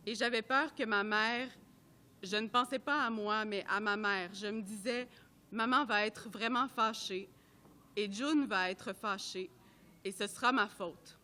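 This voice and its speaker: Bright-voiced woman reading aloud. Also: mid-range voice, slight background noise. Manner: measured, careful reading that stumbles over names. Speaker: reader at a public reading. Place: art gallery at a live event.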